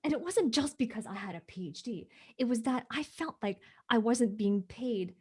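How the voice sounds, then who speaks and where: A woman's voice: fairly high pitch, animated, moderate pace, a little mellow, breathy edge. A woman from Hong Kong, not a native English speaker, telling her story as an interview guest, emphatic and often asking "right?". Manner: emphatic, repeats "right?" for agreement.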